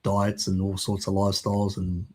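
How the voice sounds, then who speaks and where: Husky low-voiced man. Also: monotone, a little mellow. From Australia. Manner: rambling, halting with fillers and restarts. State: lingering croak from a recent cold. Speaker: guest, works in mapping. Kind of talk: casual live-stream chat. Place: home, video call. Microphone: video call.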